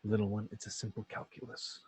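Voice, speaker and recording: A man playing a calm, menacing alien warlord and father in an amateur script read, speaking in a measured, patronizing way. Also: fairly low pitch, slightly rough. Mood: insistent, cold.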